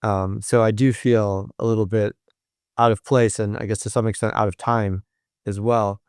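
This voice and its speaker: A man's voice: lowish voice, little pitch movement, normal speed, darkish tone, clean tone, dry recording, studio quality. A man who is a professor, answering interview questions thoughtfully, with frequent ums and restarts. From United States.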